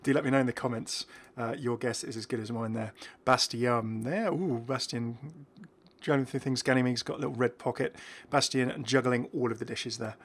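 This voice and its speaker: A man's voice: mid-range voice, fairly even intonation, normal speed. A man hosting a gaming video, talking through game cosmetics with casual, enthusiastic, rambling reactions.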